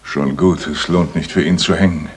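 An actor playing a desperate, hot-headed rich gentleman in a 1980s German radio drama. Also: very deep voice, steady tone, moderate pace, breathy edge. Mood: grim, vengeful. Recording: noisy retro radio recording.

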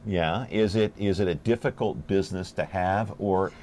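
Male TV host in his 50s, low voice, chatty and upbeat, lapel mic in a studio. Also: normal speed, a little mellow, soft background music. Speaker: TV talk show host. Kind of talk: local TV talk show hosting.

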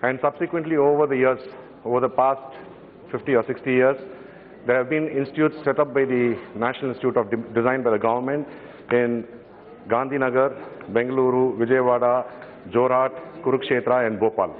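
A middle-aged man with a Tamil Indian English accent, speaking in parliament from notes, with frequent pauses and fillers. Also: medium pitch, fairly even intonation. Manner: hesitant, many fillers and restarts, glancing at notes. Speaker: member of parliament. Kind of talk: parliamentary speech. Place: large parliament chamber. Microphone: desk microphone from standing. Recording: echoing hall, phone-like broadcast audio.

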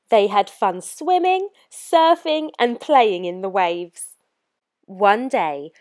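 Animated woman reading a children's storybook. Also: mid-range voice. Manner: animated, clearly paced read-aloud for young children. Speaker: storyteller reading a children's picture book aloud. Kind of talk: expressive scripted storybook narration.

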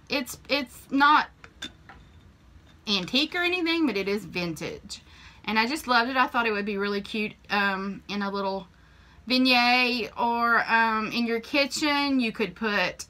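A woman's voice: on the high side, expressive, unhurried, bright, forceful tone. A woman, casually showing off flea market finds in a homey, chatty way with frequent ums, recorded on a camera mic in a small room. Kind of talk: casual show-and-tell vlog. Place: small room indoors with shelves.

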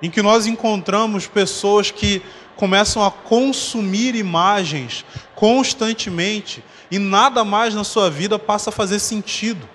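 A man in his thirties preaching a conference sermon on a dark church stage with a handheld mic, conversational, explaining slowly with humorous asides. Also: high-pitched. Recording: long hall reverb, faint noise.

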